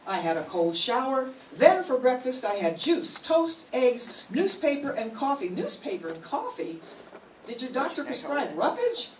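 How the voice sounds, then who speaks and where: A woman's voice: sits high, very animated, unhurried, distant mic, background noise. An older woman playing Jane, a wry, sensible young woman, in a staged 1940s radio comedy reading. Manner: dry, sarcastic. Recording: roomy hall, heard from afar.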